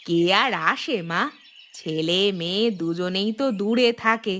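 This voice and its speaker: A woman voicing a kindly old village grandmother in a cartoon, affectionate and unhurried, lingering over her words. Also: mid-range voice, fairly bright tone, slight background noise, close to an everyday voice. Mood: fond, reassuring. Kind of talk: Bengali cartoon voice acting. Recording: music behind the voice. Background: background music.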